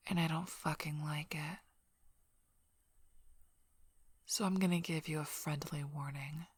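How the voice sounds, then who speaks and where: A woman playing a protective, threatening female werewolf pack leader in an ASMR roleplay, speaking in a suspicious, menacing, breathy near-whisper. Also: deep voice, dry recording, full character voice. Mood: quietly threatening.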